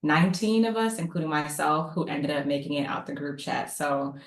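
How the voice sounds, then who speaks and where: A woman's voice: low-pitched, a little fast, echoey room. A woman in her thirties from Maryland, a psychologist guest talking casually on a video call, chatty and saying "like" a lot. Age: 30s. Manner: warm, chatty, uses "like" often. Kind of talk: casual panel talk.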